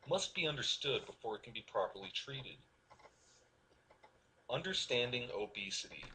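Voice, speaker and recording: A man reading a scripted promotional explainer in a measured, persuasive, instructional manner. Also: mid-range voice, pushed and bright, a little hiss or hum, low-quality recording. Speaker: narrator.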